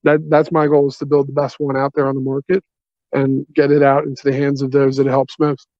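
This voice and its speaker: A man explaining a business pitch on a conference call, halting and long-winded, in earnest phrasing. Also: monotone, darkish tone. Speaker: software company representative pitching a partnership. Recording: dull, echoey conference call audio.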